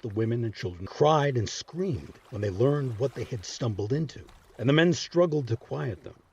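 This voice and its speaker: A man narrating scripted history as a series host, with a dramatic, measured storytelling delivery. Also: mid-range voice, expressive, breathy edge, dull audio.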